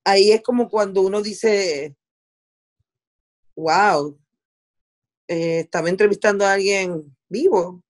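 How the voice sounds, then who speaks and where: A woman's voice: medium pitch, slow, long pauses. A middle-aged Puerto Rican woman talking conversationally in an interview, repeating words for emphasis and dropping English words into her Spanish. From Puerto Rico. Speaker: interviewee, veteran television journalist.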